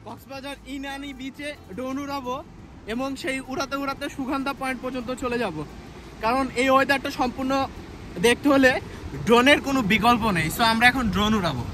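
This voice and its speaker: A man's voice: very high-pitched, fast, over music. A young man from Bangladesh talking casually to his phone camera while standing in the sea, loose and chatty, with many fillers and English words mixed in. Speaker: travel vlogger. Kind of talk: casual travel vlog to camera. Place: outdoors in the sea and on a beach. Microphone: phone held at arm's length. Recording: windy beach noise and surf.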